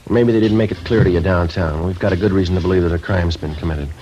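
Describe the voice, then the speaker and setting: An actor playing a terse, unflappable police sergeant in a 1950s radio crime drama, clipped and businesslike. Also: male voice, sits low, steady tone, fast, a little hiss or hum, lightly acted. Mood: calm, pressing. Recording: dull old radio recording, loud music.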